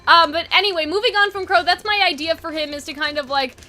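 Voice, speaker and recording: Bright, high-pitched woman chatting casually. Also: fast talker. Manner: rambling, informal, occasionally sweary. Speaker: online video host. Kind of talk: casual unscripted brainstorming chat.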